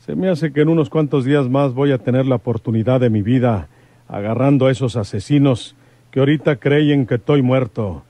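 A man's voice: medium pitch, fairly quick, warm tone, no room sound, natural voice. An actor playing a folksy, brave rural lawman in a radio serial, with a Mexican accent and rustic colloquial speech. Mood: vengeful. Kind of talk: old-time radio serial acting.

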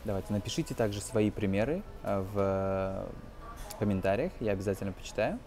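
A man in his twenties giving an informal language lesson as a teacher, explaining phrases in a halting, hesitant way. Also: on the low side, expressive, dark tone, soft background music. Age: 20s. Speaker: English teacher.

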